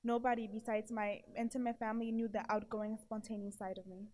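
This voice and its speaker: A woman's voice: fairly high pitch, flat delivery, brisk, mellow. A woman in her early twenties with a stutter, earnestly delivering a prepared speech to an audience. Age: early 20s. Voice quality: stutter with blocks and repeated syllables. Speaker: award presenter giving a testimonial.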